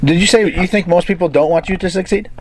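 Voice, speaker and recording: A man's voice: high-pitched, fast, a crowd in the background. A man interviewing a basketball player, asking probing questions and often restarting mid-sentence.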